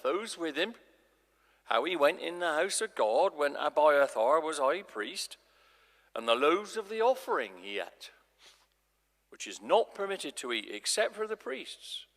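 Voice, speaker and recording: A man playing an authoritative male religious teacher in a live dramatic scripture reading, declaiming in measured, emphatic phrases. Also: a little high, pushed and bright, full character voice. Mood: challenging. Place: large church, live performance. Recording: echoey church, long reverb.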